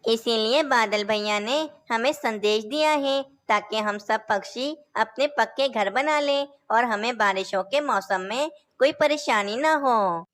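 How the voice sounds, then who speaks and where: A woman's voice: on the high side, measured pace, hardly any pauses, a bit bright. A woman voicing male cartoon birds in a children's story, speaking in a simple, declamatory way. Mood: earnest. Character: male cartoon birds: helpful parrot and lazy scheming crow. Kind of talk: Hindi animated children's story dialogue.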